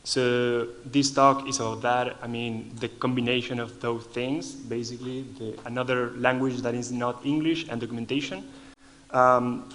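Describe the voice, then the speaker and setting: A man's voice: medium pitch, little pitch movement, normal speed, a bit bright. A man from Argentina with an Argentinian accent, a native Spanish speaker, giving a lightning talk at a conference and often restarting his phrases.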